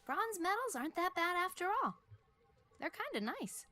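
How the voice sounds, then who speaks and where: A woman's voice: very high-pitched, very animated, moderate pace, a crowd in the background. A woman voicing a playful, teasing young adventurer who hides a lovelorn side in a video game. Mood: breezy resignation. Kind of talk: English-dubbed video game voice acting.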